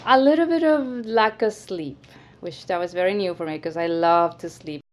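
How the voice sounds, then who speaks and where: A woman in her forties from Mexico, working as a registered nurse, talks plainly about herself. Her voice sits a bit low. She restarts a few phrases as she goes. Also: moderate pace. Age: 40s.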